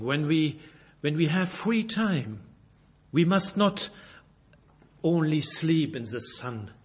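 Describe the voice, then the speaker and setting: A man from Belgium, a native French speaker with a Belgian French accent, preaching and reading scripture in halting English, searching for words and correcting himself. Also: fairly high pitch, breathy edge. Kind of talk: informal Bible sermon with scripture reading.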